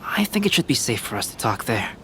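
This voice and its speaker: A calm, composed young alchemist. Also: male voice, medium pitch, slight background noise. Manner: measured, reasoning, polite. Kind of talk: video game dialogue. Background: game music.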